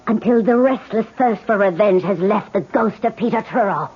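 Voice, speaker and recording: An actress playing a grave older woman who warns urgently of ghosts, speaking slowly and solemnly, in an old radio drama. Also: medium pitch, over music, lightly acted. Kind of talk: 1970s radio drama acting. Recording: dull old radio broadcast.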